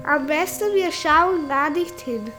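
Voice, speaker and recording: A haughty deer, played by a woman, complains in a high, slow voice. The manner is annoyed and disdainful, in the style of a children's audio play. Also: expressive, pushed and bright, slight background noise, full character voice.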